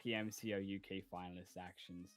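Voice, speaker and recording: A man's voice: low-pitched, little pitch movement, brisk, no echo, soft background music. A man casting esports, analyzing teams fluently and confidently and closing the broadcast. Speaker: esports caster.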